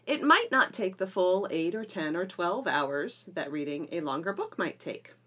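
A woman's voice: on the low side, expressive, moderate pace, a bit bright, husky. A middle-aged woman talking conversationally as a podcast co-host, explaining things with emphatic phrasing. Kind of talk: conversational educational podcast discussion.

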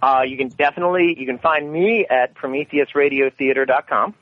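Interview guest: man, halting and self-effacing, dry phone-quality sound with no room. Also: medium pitch, dry recording. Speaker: interview guest, radio theatre producer. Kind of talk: casual interview. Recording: very narrow, tinny phone-like line.

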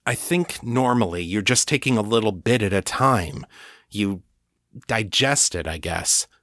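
Patient, steady man, late thirties, the narrator's own low voice, calm reasoning, dry sound. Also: measured pace, no echo.

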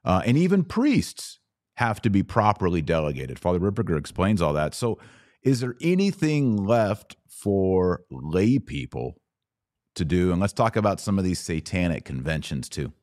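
A man hosting a podcast, speaking in a measured way as he steers the discussion. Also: low-pitched, sing-song, a little mellow, no echo, studio quality. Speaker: podcast host. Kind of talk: conversational podcast talk.

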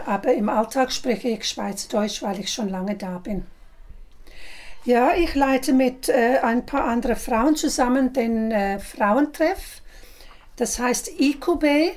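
A woman's voice: high-pitched, a little mellow. A woman from South Africa whose first language is English, talking hesitantly with many fillers about the women's group she leads. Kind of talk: casual interview.

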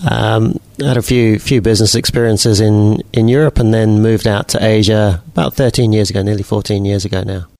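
Low-voiced British-accented man, dry sound. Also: a little fast, hardly any pauses, no echo. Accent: British English. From New Zealand. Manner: relaxed, repeats words and restarts often. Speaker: guest entrepreneur. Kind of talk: casual interview answers.

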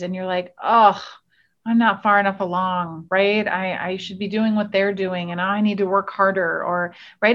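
A woman hosting a podcast interview in an earnest, encouraging, conversational way. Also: medium pitch. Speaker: podcast host. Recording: slightly dull, lo-fi audio.

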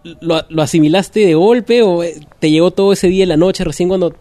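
A man hosting an interview, asking questions in a relaxed conversational way. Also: high voice, brisk, no room sound. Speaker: interviewer host. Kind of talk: casual interview chat.

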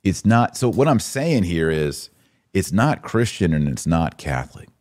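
A man's voice: sits low, very animated, a little slow, a little mellow. A man hosting a podcast, giving opinionated live commentary in an emphatic way, with rhetorical questions and asides to his listeners. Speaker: podcast host.

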